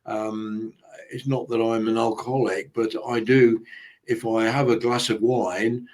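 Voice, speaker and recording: A man's voice: lowish voice, flat delivery, a little slow, darkish tone. A man moderating an online panel discussion, talking hesitantly with many fillers and restarts over a video call.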